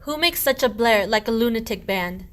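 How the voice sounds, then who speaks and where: A woman acting a sharp-tongued, cunning peasant wife in a rhyming comic radio play, with a scolding, theatrical delivery. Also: on the high side, lively intonation, moderate pace, lightly acted. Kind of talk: verse radio play acting of a medieval comedy.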